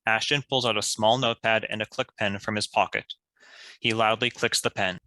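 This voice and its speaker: Very bright, lowish-voiced male narrator, dry. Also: steady tone, normal speed, no room sound. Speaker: stage-direction narrator. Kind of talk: scripted narration for a table read.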